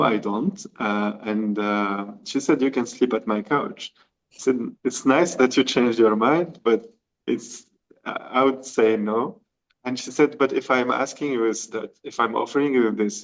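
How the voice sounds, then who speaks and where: A man's voice: medium pitch, normal speed, low-quality recording. A man from Tunisia, a podcast guest, telling a personal story and acting out the dialogue. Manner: animated, acts out quoted dialogue. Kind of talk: storytelling in conversation.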